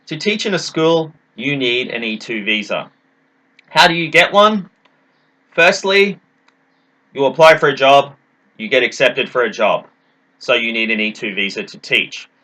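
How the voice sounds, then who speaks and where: A man's voice: mid-range voice, pushed and bright, poor audio. A man giving an explanatory presentation as a teacher, in an emphatic, didactic manner, posing questions and answering them. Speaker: English teacher presenting a talk.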